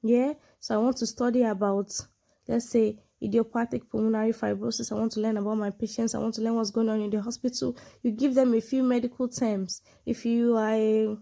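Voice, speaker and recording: A woman's voice: fairly high pitch, little pitch movement, a little fast, gravelly, warm tone. A woman from Nigeria with a Nigerian accent and a sonorous voice, speaking English as a second language, giving an informal lesson with examples and frequent check-ins with the listener. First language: a Nigerian language, not English. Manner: explains with examples, frequent check-in tags like "yeah" and "you know". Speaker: online English exam tutor. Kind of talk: informal instructional talk to camera.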